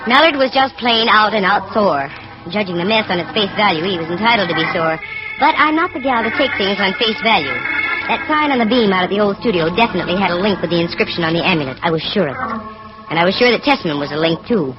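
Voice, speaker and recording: A sharp, wisecracking American lady detective, acted by a woman in 1940s radio drama. She talks in breezy slang with a wry edge, over music on a dull old recording. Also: medium pitch, lively intonation, fast talker, natural voice. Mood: wry narrating. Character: sharp-witted wisecracking female private detective.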